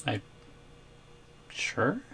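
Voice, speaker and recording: A man's voice: drawn-out words, bright, forceful tone. A man playing a reluctant, nervous captive boyfriend in an ASMR roleplay, hesitant and mildly grumbling. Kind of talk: ASMR roleplay audio drama.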